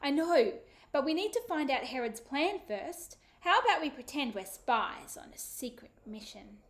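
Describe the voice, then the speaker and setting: A woman puts on a bright, high-pitched young boy in a storybook, whispering eagerly to his little sister. He is protective and brave and keeps his voice low and reassuring. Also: sing-song, moderate pace.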